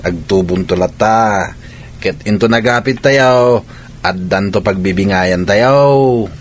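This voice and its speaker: A man's voice: fairly low pitch, takes it slowly, slight background noise. A man with an Ilocano accent playing a lazy, carefree young farmer who likes a drink, drawling colloquially in a radio comedy drama.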